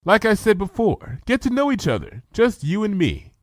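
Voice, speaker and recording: A man's voice: high voice, wildly swooping pitch, normal speed, dry recording, studio quality, pitched above the performer's own voice, heavily acted. Man playing an eager bookish young unicorn mare. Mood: earnest, eager.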